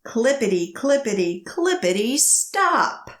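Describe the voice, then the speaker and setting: Female children's storyteller, higher-pitched, very animated and sing-song, measured phrasing, in an echoey room. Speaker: children's librarian leading storytime. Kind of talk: read-aloud picture book storytelling and action rhymes for children.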